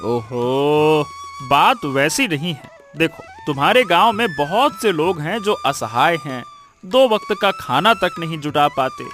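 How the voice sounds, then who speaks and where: A man voicing a kindly merchant, strikingly high-pitched. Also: huge pitch swings, unhurried, fairly bright tone, faint music behind, heavily acted. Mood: advising, persuasive. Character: kindly, generous, sensible merchant benefactor, addressed as elder. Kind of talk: Hindi cartoon story voice acting.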